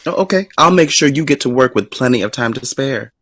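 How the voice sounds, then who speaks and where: An actor playing a sassy, teasing young friend in an audio drama, with arch, drawn-out phrasing. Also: male voice, medium pitch, animated, close to an everyday voice. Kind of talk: naturalistic audio drama dialogue.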